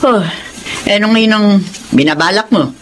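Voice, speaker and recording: A woman's voice: mid-range voice, moderate pace, a little hiss or hum, natural voice. An actress playing an older, dismayed and reproachful mother of a grown son in a Tagalog radio drama.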